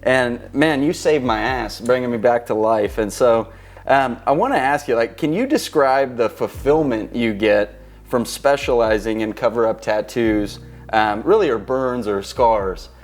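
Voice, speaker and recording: A man hosts an interview podcast in an average-pitched voice, friendly and affirming as he asks questions. He keeps chiming in with short agreements. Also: unhurried. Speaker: podcast host.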